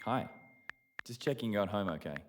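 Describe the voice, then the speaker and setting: A man from Australia playing a friendly, attentive young doctor on a date in an audio drama, casual and gently hesitant. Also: fairly low pitch, animated, a little fast, background noise, close to an everyday voice. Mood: earnest, attentive. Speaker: actor playing the love interest. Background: music.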